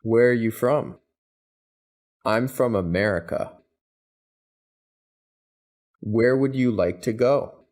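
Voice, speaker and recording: A man reading English practice questions and answers, each said twice in an even, deliberate way. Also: mid-range voice. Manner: even, deliberate, carefully spaced delivery. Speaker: English teacher. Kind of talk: slow scripted phrase drills, each said twice.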